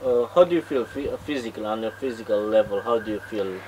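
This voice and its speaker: A man's voice: lowish voice, a little fast, darkish tone. A man asking brief, hesitant interview questions. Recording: distant voice with background noise.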